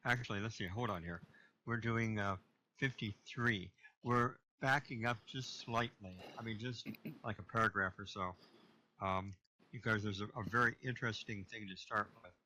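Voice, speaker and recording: A man organizing a script reading, speaking hesitantly and pausing to find his place. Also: fairly low pitch, pushed and bright, rough recording. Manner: hesitant, many fillers. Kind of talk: casual chat.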